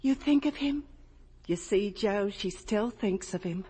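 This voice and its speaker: A tender, anxious older American mother in a vintage radio play. Her delivery moves widely in pitch, motherly yet pointed, with a wistful edge. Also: expressive, unhurried, dark tone, husky. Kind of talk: 1950s radio drama acting.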